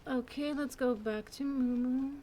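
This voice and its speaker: High-voiced young woman gamer. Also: fairly even intonation, measured pace, slight background noise. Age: 20s. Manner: soft-spoken, reserved. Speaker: gamer recording a playthrough. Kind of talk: casual game commentary.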